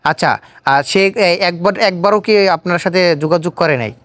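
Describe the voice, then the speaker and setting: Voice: medium pitch, normal speed, a bit bright, dry recording. An interviewer with a Bangladeshi accent, hosting a casual interview and probing by repeating answers back as questions. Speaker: interviewer and host. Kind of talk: casual on-camera street-style interview.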